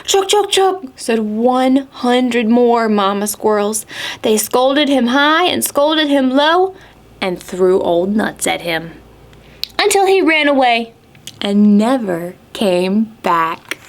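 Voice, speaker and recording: Slow, high-voiced woman reading to preschoolers. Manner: animated, questioning, clearly phrased for small children. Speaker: children's librarian, storytime host. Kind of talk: expressive read-aloud storytelling for preschoolers.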